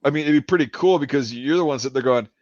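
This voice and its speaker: Podcast host: a rambling, swearing man, mid-pitched, recorded dry and lo-fi. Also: quick pace, a little mellow, no echo. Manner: rambling, swears freely, lots of fillers and restarts. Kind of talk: casual chat. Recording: dry, dull lo-fi sound.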